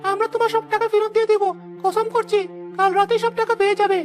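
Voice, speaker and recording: A woman's voice: very high voice, level tone, fast talker, faint music behind. A woman voicing a treacherous, greedy male village trader in a cartoon story. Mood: ingratiating.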